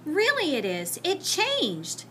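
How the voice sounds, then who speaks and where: Lady doing a whiny-earnest little schoolboy from a kids book, protesting, bright voice, bit of background noise. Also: very high-pitched, wildly swooping pitch, takes it slowly, voice pushed up, heavily acted. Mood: earnest, bewildered. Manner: pleading, protesting. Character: earnest, aggrieved schoolboy who turns things to chocolate.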